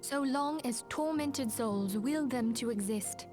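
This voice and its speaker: An earnest fantasy game leader lady, fairly high voice, measured n formal, soft music behind. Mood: grave, composed. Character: composed, earnest woman leading a band of heroes. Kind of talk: scripted fantasy game dialogue.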